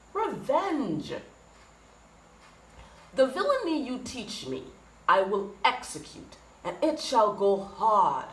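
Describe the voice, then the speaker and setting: A woman's voice: mid-range voice, fairly bright tone. A woman performing a Shakespearean monologue as a wronged, vengeful merchant, hammering out grievances and rhetorical questions with bitter passion. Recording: echoey room with faint noise.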